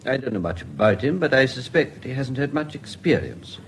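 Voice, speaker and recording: A courteous British official in a radio drama, over faint room ambience. His pitch moves freely. He speaks tactfully and confidingly, as if consulting an expert in private. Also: sing-song, a little fast, gravelly. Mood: discreet, confiding. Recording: faint background noise and ambience.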